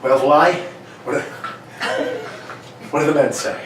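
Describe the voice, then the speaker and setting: A man's voice: a little high, steady tone, unhurried, natural voice. A man playing a brusque, impatient police inspector in an amateur 1940s-style radio play, barking questions and orders. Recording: echoey room, distant mic, faint noise.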